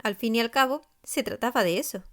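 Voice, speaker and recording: A woman narrating a scripted children's story expressively, with deliberate emphasis. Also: fairly high pitch. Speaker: author narrating her own story.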